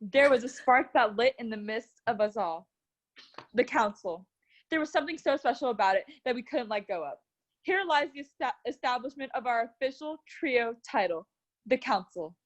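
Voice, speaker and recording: Female storyteller, higher-pitched, earnest and dramatic, narrating a scripted tale aloud. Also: expressive, normal speed.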